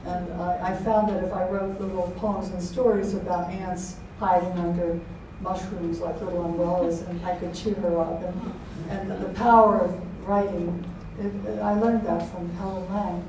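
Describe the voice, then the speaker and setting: An older woman, an author speaking to an audience in a halting, reflective manner. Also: fairly low pitch, level tone, dark tone. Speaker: author and poet giving a talk. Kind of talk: informal talk and poetry reading to an audience. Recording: very echoey room, distant mic, faint noise.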